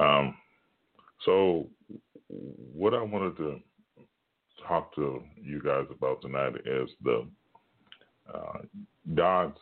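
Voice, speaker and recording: A man with a very deep, rumbling voice giving an informal sermon at home, talking quietly with many pauses and fillers. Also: creaky, narrow, tinny audio. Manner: hushed, halting talk with many fillers. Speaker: preacher. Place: home at night, son in next room.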